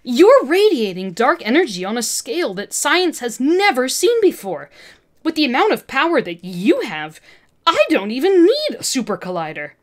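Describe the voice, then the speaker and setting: A woman's voice: sits high, wildly swooping pitch, moderate pace, pitched above the performer's own voice. A woman voicing an obsessive, villainous female scientist who bellows, hisses and gloats in a dramatic story reading. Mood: feral excitement.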